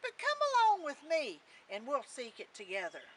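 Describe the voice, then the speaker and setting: A woman's voice: high voice, wildly swooping pitch, normal speed, far from the mic, a little hiss or hum. A woman voicing a friendly, reassuring lad in a storybook folktale, in folksy rural dialect. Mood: curious.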